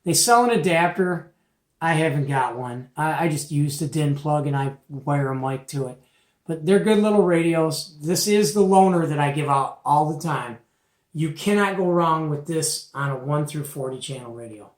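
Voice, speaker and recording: A man's voice: on the high side, animated, normal speed. A middle-aged man giving a casual, folksy and opinionated product show-and-tell as the host. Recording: echoey, roomy home recording.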